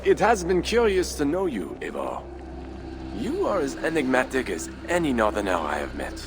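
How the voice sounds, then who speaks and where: An actor playing a proud, courteous count with formal, measured phrasing in a video game. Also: male voice, mid-range voice, huge pitch swings, music in the background. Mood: gracious farewell. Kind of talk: dramatic video game cutscene acting.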